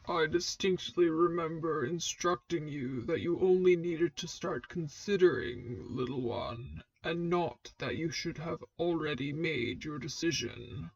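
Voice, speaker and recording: A woman voicing a stern male dark lord and commanding mentor, speaking in a formal, measured, authoritative way for a fan fiction reading. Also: low voice, vocal fry, no echo, highs cut off, pitched below the performer's own voice, heavily acted. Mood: dry teasing.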